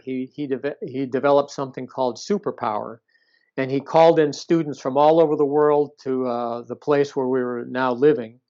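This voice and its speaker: A man being interviewed, telling stories from his past conversationally, in a halting way with repeated words and rephrasing. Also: fairly high pitch, a little mellow. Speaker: interview guest. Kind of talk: conversational recounting of past experiences. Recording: dull, lo-fi sound.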